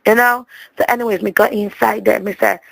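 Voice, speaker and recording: Jamaican woman, expressive rambling comic storyteller, dramatic patois delivery, close dry sound. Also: medium pitch, fairly quick, gravelly, no room sound, rough recording. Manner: dramatic, chatty, repeats words for emphasis.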